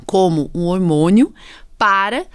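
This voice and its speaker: A woman's voice: mid-range voice, animated, slow pace, clear, resonant tone, no room sound. Female podcast host, Brazilian accent, mid-range voice, conversational medical explainer who checks in often, recorded dry. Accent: Brazilian Portuguese. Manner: chatty, explanatory, frequent tag questions. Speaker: podcast host, allergist doctor.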